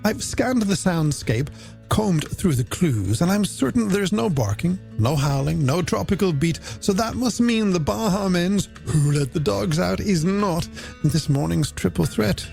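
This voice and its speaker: A male late-night radio presenter with a slightly high voice that swoops expressively and crackles with fry. His delivery is playful and theatrical, full of wordy banter, over a music bed. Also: animated, a little slow, a little mellow. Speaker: radio host.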